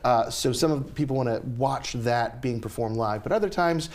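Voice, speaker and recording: A man with an average-pitched voice speaks as a guest in an interview. He explains things informally, in a conversational style. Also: moderate pace, darkish tone. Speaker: interview guest, radio drama scriptwriter and lyricist. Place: TV news studio.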